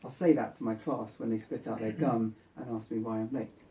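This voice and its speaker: A man reads literary fiction aloud to a live gathering. His voice is mellow and relaxed, at a middling pitch. The microphone sits some way off, so he sounds a little distant in the room. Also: brisk. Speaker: author reading own short story. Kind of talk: literary story reading to a live audience. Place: bookshop book launch event.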